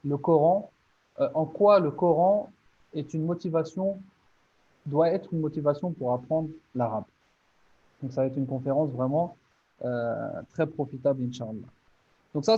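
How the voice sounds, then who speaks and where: A man's voice: a little high, dull audio. A man teaching, presenting his online course step by step in an earnest, motivating way with many small restarts.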